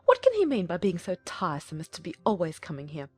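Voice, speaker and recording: A woman playing a fussy, excitable middle-aged mother in a dramatized audiobook, exclaiming and easily vexed. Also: lowish voice, fast talker, slightly rough, no room sound, faint music behind, exaggerated voice. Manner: exclamatory, gushing complaint.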